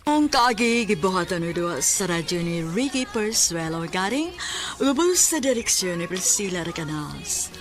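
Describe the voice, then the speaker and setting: Slow, measured woman radio announcer. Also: on the low side, big pitch swings, faint music behind. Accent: Cebuano. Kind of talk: scripted broadcast narration.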